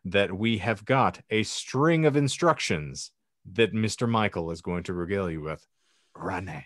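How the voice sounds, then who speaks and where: A man's voice: low-pitched, expressive, measured pace, no room sound, clean studio sound. A man hosting a comedy podcast, speaking in a mock-formal, flowery way with playful banter. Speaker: podcast host.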